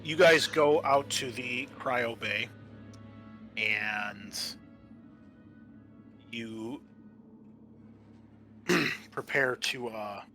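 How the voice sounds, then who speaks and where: A man running a tabletop roleplaying game as game master, narrating informally and haltingly as he improvises the scene. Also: mid-range voice, sharp tone, faint music behind.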